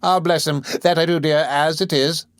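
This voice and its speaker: A man's voice: fairly high pitch, steady tone, moderate pace, vocal fry, dry recording, studio quality, voice pushed up. A man putting on a gushing, fawning old-fashioned nursemaid. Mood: wheedling. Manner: gushing, wheedling, overly humble. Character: fawning, scheming middle-aged woman running a workhouse nursery.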